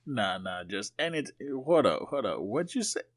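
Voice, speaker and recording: An American man from Florida chatting casually as a podcast co-host, colloquial and quick to react. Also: on the low side, very animated. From Florida, United States. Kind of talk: casual chat.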